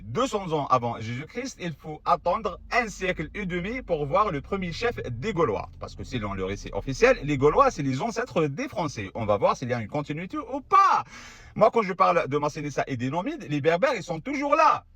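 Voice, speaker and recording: A man's voice: fairly high pitch, very animated, normal speed, bright, forceful tone, dry recording. A man giving casual, argumentative history commentary to camera, sarcastic and full of rhetorical questions. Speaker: video creator.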